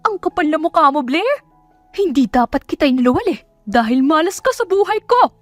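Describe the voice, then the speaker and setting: Woman acting a furious, high-pitched scolding mother. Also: big pitch swings, fairly quick, no room sound, soft background music. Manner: shouting, scolding, spitting out harsh questions. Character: furious, scornful, cruel middle-aged provincial mother berating her daughter. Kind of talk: Tagalog audio drama acting.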